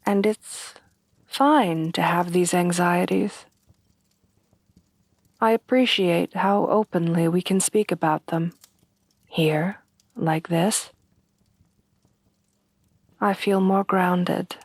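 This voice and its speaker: A woman playing a refined, tender headless horsewoman bride in a soft-spoken ASMR roleplay, with formal, genteel phrasing. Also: fairly low pitch, slow, pauses often, no room sound. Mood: affectionate, calm. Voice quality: soft, breathy at times.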